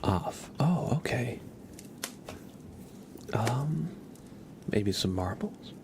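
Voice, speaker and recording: A man hosting an ASMR livestream, chatting gently and casually in a soft, breathy voice with frequent pauses. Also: medium pitch, lively intonation, background noise. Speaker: livestream host.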